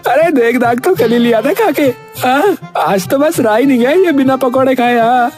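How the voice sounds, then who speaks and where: Woman voicing a high-pitched, gluttonous village man. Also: moderate pace, a little mellow, faint music behind, close to an everyday voice. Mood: eager, greedy. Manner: folksy, exclamatory, repeats himself. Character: gluttonous, chatty village man, cheerfully pushy. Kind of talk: Hindi cartoon story acting.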